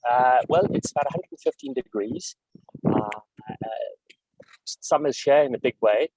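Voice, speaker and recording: A middle-aged man from South Africa with a South African accent, answering interview questions in a measured way, with frequent fillers and restarts. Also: mid-range voice, animated, darkish tone, slightly rough, no room sound, low-quality recording. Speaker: guest executive.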